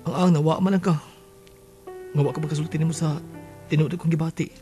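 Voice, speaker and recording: A man's voice: on the high side, steady tone, a little fast, warm tone, close to an everyday voice. Man playing an earnest lovestruck schoolboy over music. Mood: romantic confession. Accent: Filipino (Cebuano). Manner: earnest, tender. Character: Minggoy, earnest lovestruck teenage schoolboy suitor. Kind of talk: radio drama acting. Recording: music behind the voice.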